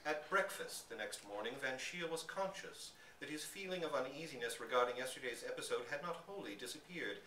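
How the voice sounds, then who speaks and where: Man, live short-story reading, animated intonation, roomy echo, distant mic, background noise. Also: medium pitch, fairly quick, hardly any pauses. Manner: expressive, literary storytelling. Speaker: story reader.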